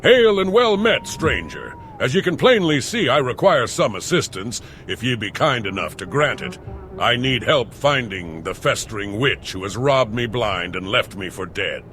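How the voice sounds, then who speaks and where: A man's voice: medium pitch, big pitch swings, normal speed, a bit bright, a little hiss or hum. An actor playing a hearty Nordic man of the wilds in a fantasy video game, with archaic, declamatory phrasing. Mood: bold, pleading.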